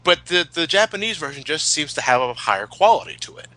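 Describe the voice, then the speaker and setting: A man's voice: a little high, lively intonation, fast talker, pushed and bright, dry recording, poor audio. A man chatting casually as a podcast co-host, opinionated and emphatic, with plenty of swearing. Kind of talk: casual chat, retrospective commentary.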